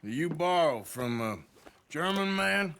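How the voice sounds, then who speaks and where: An actor playing a gruff, sardonic middle-aged outlaw with a rural Western American accent and a dry drawl, in a game cutscene. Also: male voice, a little high, expressive, slow pace, a bit bright, a little hiss or hum, full character voice. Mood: stern, menacing. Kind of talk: naturalistic game cutscene acting.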